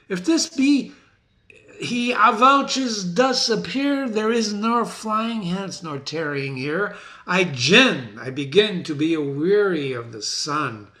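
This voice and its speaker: A man playing a proud, despairing, raging warlord king in a dramatic Shakespeare reading, with drawn-out, declamatory delivery. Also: high-pitched, pushed and bright, pitched above the performer's own voice, heavily acted.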